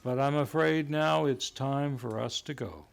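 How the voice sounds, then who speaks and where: An actor playing a courteous, gently teasing host of an old-time radio show, polite, measured and formal. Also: male voice, medium pitch, creaky, clear, resonant tone. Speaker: host and announcer.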